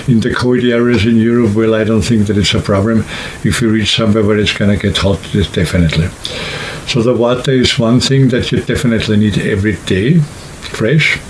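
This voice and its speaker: A man's voice: on the low side, steady tone, a little fast, rough recording. Man rambling casually over background noise. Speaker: hiking vlogger and trip organizer. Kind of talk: casual unscripted talk to camera.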